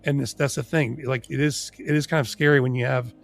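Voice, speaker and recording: A man's voice: medium pitch, steady tone, a little fast, vocal fry. A man who is losing his voice, chatting casually as a livestream co-host in a sweary, informal way, stumbling over his words.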